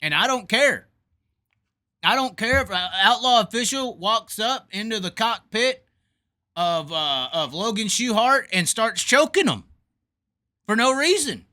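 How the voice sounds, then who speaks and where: Animated, bright-voiced man on a talk show. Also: sits high, unhurried. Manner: animated, repeats himself, fired up and emphatic. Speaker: podcast host. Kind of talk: casual opinionated call-in chat.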